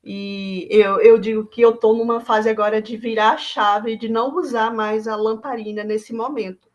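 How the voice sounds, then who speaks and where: A Brazilian woman artist from Bahia, talking through her work in a reflective, halting way with many fillers and restarts. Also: on the high side, steady tone, highs cut off. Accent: Brazilian Portuguese. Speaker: visual artist.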